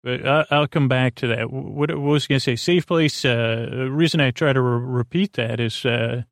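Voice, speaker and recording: A man hosting a bedtime podcast, talking in a meandering, halting, repetitive way that trails off into tangents, with a creaky voice. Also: medium pitch, no room sound, studio quality. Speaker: podcast host. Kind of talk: rambling bedtime podcast monologue.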